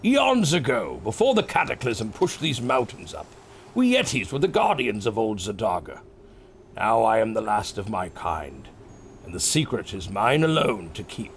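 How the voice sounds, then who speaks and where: A polite, well-spoken Yeti guardian played by a man for an audio adventure. The delivery leaps freely up and down in pitch, full of gentlemanly exclamation, over a faint bed of ambience. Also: wildly swooping pitch, moderate pace, slight background noise. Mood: proud, exclamatory. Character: civil, gentlemanly male Yeti, last ancient guardian. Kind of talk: audio drama acting.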